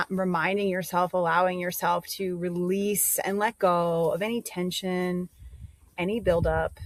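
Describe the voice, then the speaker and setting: A woman leading a guided meditation, giving gentle instructions with pauses between phrases. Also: fairly low pitch, no echo.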